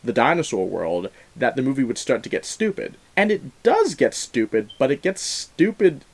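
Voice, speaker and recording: A man's voice: medium pitch, sing-song, moderate pace. A man giving a casual, opinionated film review, leaning emphatically on key words in an earnest way. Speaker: film review show host.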